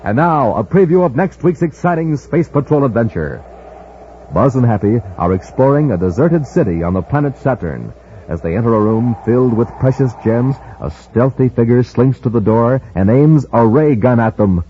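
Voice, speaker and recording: An American man announcing a 1950s radio show, with a punchy, excited pitchman delivery for narration and sponsor spots. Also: moderate pace. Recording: muffled, faintly noisy 1950s radio recording.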